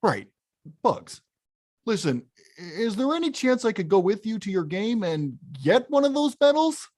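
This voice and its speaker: An actor playing a big, frustrated and stubborn video-game bad guy who craves respect, with emphatic, blustering delivery in an animated film table read. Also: medium pitch, moderate pace. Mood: eager.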